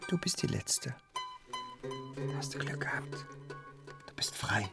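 A man's voice: fairly low pitch, lively intonation, slow pace, gravelly, over loud music, full character voice. An actor playing an infatuated young poet in a radio play, murmuring and often whispering his brooding inner thoughts. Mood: hushed wonder.

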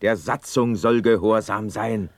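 An actor playing a firm, level-headed officer comrade in a classical radio drama, admonishing in a matter-of-fact way. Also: male voice, lowish voice, unhurried, no room sound.

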